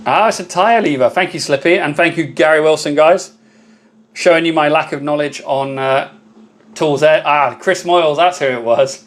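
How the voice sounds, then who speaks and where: A man with a loud voice, hosting a casual live stream. He chats with viewers and thanks them by name while he works at a laser engraver. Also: fairly high pitch, moderate pace, slight background noise.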